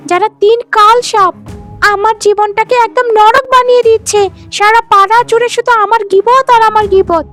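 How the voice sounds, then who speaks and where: A woman voicing a spiteful, arrogant young wife in a cartoon, scolding in a shrill, melodramatic way. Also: a bit bright. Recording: music behind the voice. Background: music.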